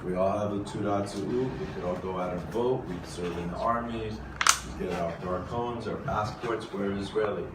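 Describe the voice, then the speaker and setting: Forum host, male, lowish voice, encouraging, recorded from afar in a noisy hall. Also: normal speed. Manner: earnest, encouraging, says y'all. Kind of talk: addressing a room. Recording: echoey room, distant mic, noisy.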